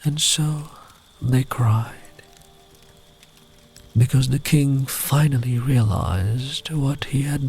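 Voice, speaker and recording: Male ASMR storyteller: slow, tender, breathy near-whisper, medium pitch, faint music underneath. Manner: soft-spoken, often breathy near-whisper; soothing, unhurried, tender. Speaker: ASMR voice performer telling a bedtime story.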